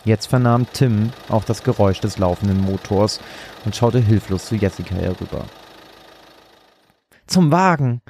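A man's voice: lowish voice, fairly even intonation, moderate pace, mellow, a little hiss or hum. A man narrating a scripted suspense story as a storyteller.

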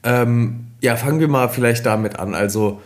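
A man, a nutrition expert co-hosting a podcast, explaining casually with many fillers, slightly hoarse from a cold. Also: mid-range voice, normal speed.

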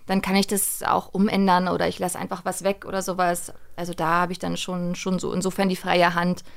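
A woman's voice: lowish voice, completely flat delivery, a little fast, creaky. A woman chatting casually and in colloquial detail as a director and interview guest. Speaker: radio play director and voice actress, interview guest. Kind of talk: casual studio interview chat.

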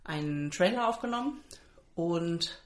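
Brief stuffy-nosed woman co-host, a bit low, chatty and teasing, roomy echo. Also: expressive, a little slow. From Germany. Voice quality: nasal. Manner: chatty, teasing, often cuts in. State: stuffy nose from a cold. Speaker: podcast co-host. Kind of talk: casual chat.

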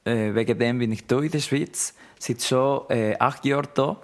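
Ecuador-born male musician, mid-pitched with slips, interview clip. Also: normal speed. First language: Spanish. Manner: non-native German with grammar slips.

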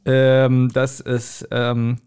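A man with a German accent chatting as a podcast co-host in a halting, joking manner. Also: medium pitch, monotone, a little mellow, smooth. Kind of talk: casual joking podcast chat.

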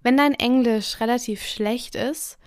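A woman's voice: fairly high pitch, a little slow, dry recording, studio quality. A young woman hosting a solo podcast in her native German, speaking earnestly and reflectively with frequent "ähm" fillers. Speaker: podcast host. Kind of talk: casual solo podcast monologue.

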